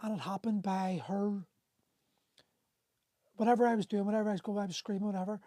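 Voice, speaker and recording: Candid middle-aged man, mellow voice. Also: high voice, steady tone, dry recording, studio quality. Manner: candid, emotional, swears freely, halting restarts. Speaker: host. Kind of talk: livestream storytelling. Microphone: phone on a livestream.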